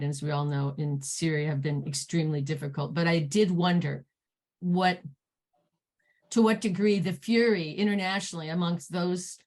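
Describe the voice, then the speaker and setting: Hoarse lady running a zoom panel, lowish voice, keeps clearing her throat. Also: darkish tone. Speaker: panel moderator. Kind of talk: online panel discussion.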